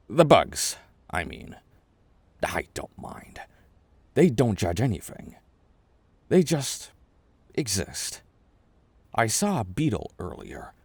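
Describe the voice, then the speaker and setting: A man voicing a gentle, eccentric older chess king in an ASMR roleplay, reassuring and rambling in a halting way. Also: lowish voice, slightly rough, no room sound, exaggerated voice. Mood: musing, fascinated.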